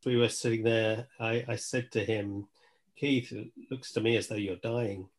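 A man's voice: on the low side, fairly even intonation, unhurried. A man talking reflectively as a podcast guest, earnest, repeating phrases for emphasis. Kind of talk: reflective conversation.

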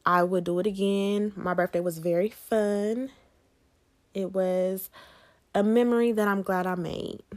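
A woman's voice: mid-range voice, steady tone, unhurried, darkish tone. A woman hosting a podcast on her own, talking in a casual, conversational way and putting questions to her listeners. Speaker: podcast host. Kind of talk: casual solo podcast chat.

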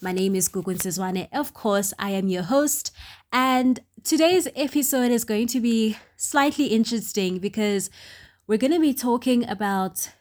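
A woman from Soweto with a South African accent, hosting a casual solo podcast. She talks in a chatty, upbeat way, often asks "right?", fills pauses with "um" and mixes in township slang. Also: fairly high pitch, a little mellow. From Soweto, Johannesburg, South Africa. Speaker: podcast host.

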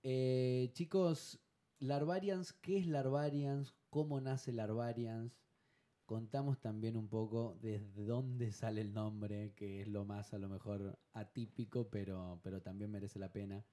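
A man's voice: on the low side, fairly even intonation, takes it slowly, dark tone. A man hosting a radio interview in a studio, asking questions in an upbeat, announcer-like way. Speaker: radio host. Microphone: studio microphone.

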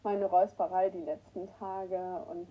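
A woman with a cold hosting a casual morning livestream, greeting her viewers by name and clearing her throat often. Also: lowish voice, level tone, a little slow, lo-fi sound. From northern Germany. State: has a cold, hoarse at times. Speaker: livestream host. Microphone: new microphone that is not working right.

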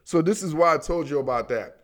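An American man giving casual, slangy football commentary in an animated, emphatic, repetitive manner, talking straight to his listeners. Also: on the high side, brisk. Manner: animated, emphatic, repeats himself, addresses listeners as dog and baby. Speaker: sports commentator, host.

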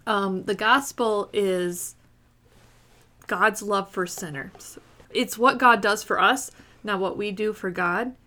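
A woman in her thirties hosting a casual podcast in a room with her sisters, earnest and quick to laugh, pausing with fillers as she thinks aloud. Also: medium pitch, bright, forceful tone. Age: 30s. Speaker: podcast host. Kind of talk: casual faith podcast chat.

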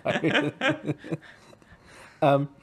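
Man with halting, joking book chat. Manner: hesitant, full of fillers and restarts, dry jokes. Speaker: podcast co-host. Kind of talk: casual book discussion.

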